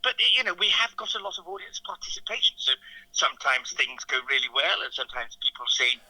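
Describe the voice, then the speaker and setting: A man's voice: on the high side, big pitch swings, fast talker, bright, forceful tone, no echo, low-quality recording. A man with a 1950s Received Pronunciation accent, a comedy entertainer talking as a radio interview guest in a plummy, theatrical way.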